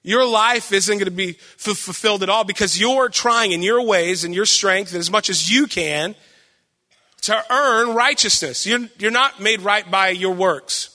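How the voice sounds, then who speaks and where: A man with a high, bright voice speaks earnestly about faith and encourages his listeners, often stumbling into repeats as he presses his point. A long reverb trails his words. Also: a little slow. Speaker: preacher. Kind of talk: devotional talk.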